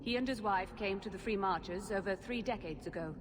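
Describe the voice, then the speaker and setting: An actress playing an emotionless, efficient mage assistant in a video game, formal and precise. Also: female voice, mid-range voice, brisk, close to an everyday voice. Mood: detached, precise. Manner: flat affect, formal, precise. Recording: long hall reverb, clear background noise.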